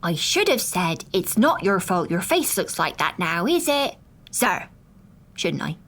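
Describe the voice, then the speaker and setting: A jittery young maid from a storybook, put on by a man reading aloud, squeaky and far above his own voice. She blurts everything out stiffly, tacking on "sir" again and again. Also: big pitch swings, measured pace, sharp tone, exaggerated voice. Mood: stiff, blurting. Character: young nervous blurting housemaid girl.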